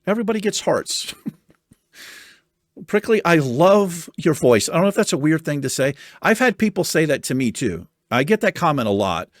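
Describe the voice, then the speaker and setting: A chatty American man with a soothing voice reads viewer comments in a lively, swinging way. He swears casually and talks like he's among friends. The sound is dry and close, with no room echo. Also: on the high side, moderate pace. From United States. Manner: animated, chatty, swears casually, laughs often. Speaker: YouTube host reading viewer comments. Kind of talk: casual chat.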